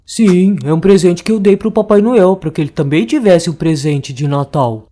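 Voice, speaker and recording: A woman's voice: on the low side, moderate pace, warm tone, lightly acted. A woman with a Brazilian accent voicing a fretful, kindly male inventor in a children's cartoon. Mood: earnest.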